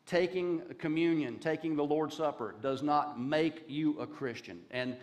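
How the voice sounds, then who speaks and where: A Southern man in his fifties preaches from a big dark church stage in a relaxed, folksy way, tossing in jokes and asides. His voice sits a touch high for a man, and a headset mic keeps it close. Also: a little slow. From Charlotte, North Carolina. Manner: folksy, joking asides, gestures while pacing the stage. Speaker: guest pastor. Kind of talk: conversational sermon.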